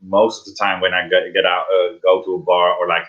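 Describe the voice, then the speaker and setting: A middle-aged man with a Dutch accent, a drag performer speaking English as a second language in a casual interview, halting and repeating words and laughing often. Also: low voice, monotone, highs cut off. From Netherlands.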